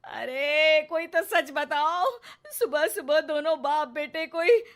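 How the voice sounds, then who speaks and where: A woman's voice: high-pitched, animated, takes it slowly, voice pushed up. A woman voicing a sarcastic, suspicious cartoon mother in an exaggerated, mocking, scolding manner. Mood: mocking disbelief. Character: sharp-tongued, sarcastic wife and mother, suspicious and dramatic.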